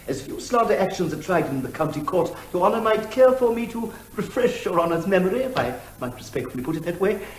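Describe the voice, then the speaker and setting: Man acting a theatrical, high-voiced barrister. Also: very animated, fast talker, reverberant, slight background noise. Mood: obsequious. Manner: rhetorical, orotund, elaborately deferential. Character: verbose, theatrical male barrister, obsequious to the judge. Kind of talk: comic courtroom advocacy.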